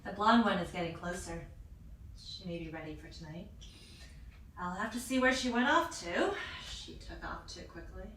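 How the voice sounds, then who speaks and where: Woman acting as a cold imperious cult boss lady, slow n swoopy, distant covert recording. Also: lowish voice, lively intonation, a bit bright, roomy sound, a little hiss or hum. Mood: calculating. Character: menacing woman cult leader, cold and squeamish.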